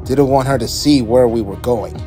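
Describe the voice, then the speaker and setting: A man playing a brisk resistance guard in an audio drama. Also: medium pitch, warm tone, faint music behind, close to an everyday voice. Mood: brisk, alert. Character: brisk male resistance guard reporting a prisoner.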